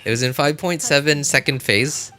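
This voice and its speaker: A man chatting casually to viewers as a game livestreamer, rambling and often repeating himself in a halting way. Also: fairly high pitch, pushed and bright.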